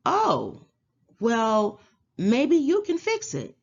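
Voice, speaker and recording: A woman's voice: on the high side, huge pitch swings, very slow, creaky, lo-fi sound, pitched above the performer's own voice, heavily acted. A woman voicing a cheerful, patient young girl in a storybook, polite and encouraging. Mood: gentle, encouraging.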